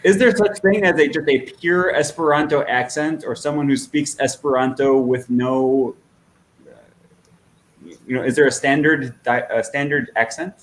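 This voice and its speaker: A man leads a relaxed interview and keeps the guest talking with short, friendly agreements. His voice sits at a middle pitch. He asks follow-up questions in a conversational way. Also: moderate pace, poor audio. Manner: attentive, frequent short agreements. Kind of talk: casual conversation.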